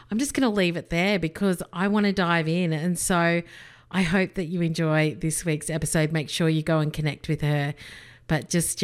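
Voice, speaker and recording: A woman hosting a business podcast, talking with bubbly enthusiasm as she introduces her guest. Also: on the low side. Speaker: podcast host.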